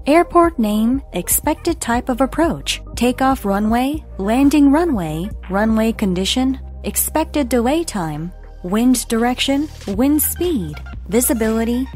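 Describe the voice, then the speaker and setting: A woman reading scripted educational narration in a measured, deliberate way, enunciating carefully. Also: a little high, darkish tone. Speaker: narrator of an explainer lesson.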